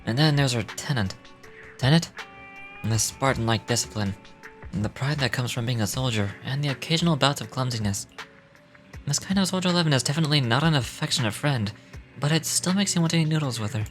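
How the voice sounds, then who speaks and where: A man's voice: medium pitch, expressive, fast, fairly bright tone. A man reading game dialogue aloud in character, with small stumbles as he reads. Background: music.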